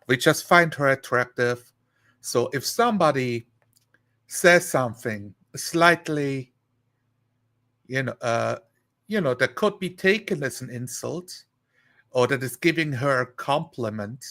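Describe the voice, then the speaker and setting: A man chatting casually on a live stream, rambling and thinking aloud in a halting way. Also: medium pitch, lively intonation, pushed and bright.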